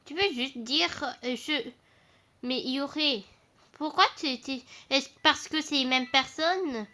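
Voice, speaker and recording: Woman, high voice, bright, excitable, hesitant, slangy, casual chat during a game. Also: measured pace. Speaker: co-player.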